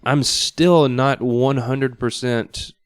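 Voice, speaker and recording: A man speaking casually as a guest on a podcast, halting now and then as he thinks aloud. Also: medium pitch, steady tone, clean tone, no room sound, studio quality. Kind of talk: casual chat. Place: podcast studio.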